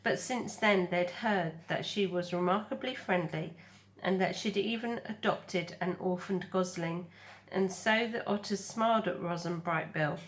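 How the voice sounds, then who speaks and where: A woman reading a children's story aloud as narrator, in a careful, expressive manner. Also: on the low side, measured pace, rough recording. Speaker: storybook reader.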